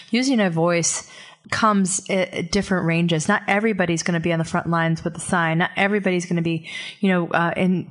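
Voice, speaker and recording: A woman guest in a casual talk show, speaking earnestly and rambling with restarts. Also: lowish voice. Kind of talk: casual chat.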